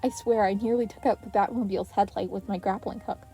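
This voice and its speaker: A woman voicing an earnest, excitable teenage boy hero who gets flustered, in an anime fan story reading. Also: mid-range voice, quick pace, slightly rough, soft background music. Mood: excited, flustered. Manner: stammering when flustered.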